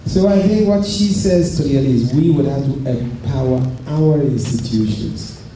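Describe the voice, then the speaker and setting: A man's voice: medium pitch, warm tone. Ghanaian man speaking in an echoey hall. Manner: measured and deliberate, rising with enthusiasm in anecdotes. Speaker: lecture speaker. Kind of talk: public lecture. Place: lecture hall. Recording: far echoey hall with noise.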